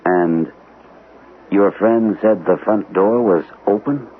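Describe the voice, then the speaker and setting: A haunted war veteran, an army captain in an old radio drama. The voice is low, earnest and uneasy. It comes through a narrow band with light hiss behind it. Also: male voice, slow pace. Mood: troubled, questioning. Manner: earnest, hesitant. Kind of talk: old-time radio mystery drama acting. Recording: tinny, noisy old radio recording.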